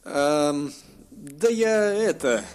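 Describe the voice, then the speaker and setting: A clumsy, well-meaning ice dragon, put on by a man reading a story, blurting out flustered exclamations. The voice sits high and swings all over. Faint music runs under it. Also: expressive, measured pace. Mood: sheepish. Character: clumsy good-hearted male ice dragon.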